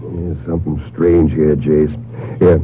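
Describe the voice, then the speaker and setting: A man's voice: rumbling low, fairly even intonation, slow pace. An American man playing a practical, folksy medical examiner in a 1950s radio drama, with plain-spoken delivery. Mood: grave, puzzled. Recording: muffled, noisy old radio broadcast recording.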